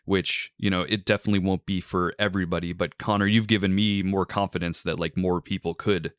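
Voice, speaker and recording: A male podcast host with a very low, smooth voice, speaking in measured, articulate sentences with the odd "like." The recording is dry and close, with no room sound. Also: fairly even intonation, no echo. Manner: articulate, measured, uses "like" fillers. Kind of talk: film review and interview hosting.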